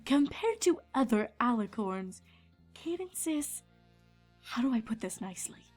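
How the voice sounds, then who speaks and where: This is a woman acting a regal elder pony princess in a storybook reading. She is measured, formal and tactful, a mentor with a fairly high voice. Soft music plays behind her. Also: very animated. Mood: awkward, tactful.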